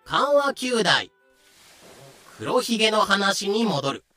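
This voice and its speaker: Male narrator, slow delivery, bright forceful voice, dramatic scripted style, music behind. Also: high voice, sing-song, no room sound.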